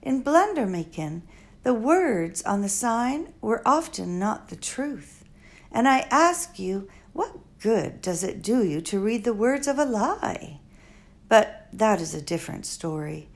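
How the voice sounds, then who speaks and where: A woman's voice: mid-range voice, sing-song, measured pace. A woman reading an audiobook, voicing an eccentric elderly female philosopher who speaks in stilted, formal phrases. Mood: musing.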